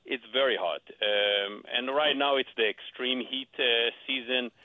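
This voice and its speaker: A man's voice: mid-range voice, measured pace, no room sound. Israeli-accented man on a phone line. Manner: friendly, hesitant, restarts phrases. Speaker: guest real estate agent. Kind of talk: phone interview.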